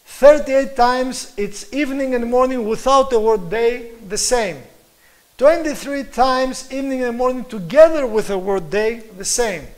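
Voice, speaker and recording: Emphatic Greek-accented man lecturing, high voice. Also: measured pace. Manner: earnest, emphatic, chuckles at own points. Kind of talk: presentation lecture with slides.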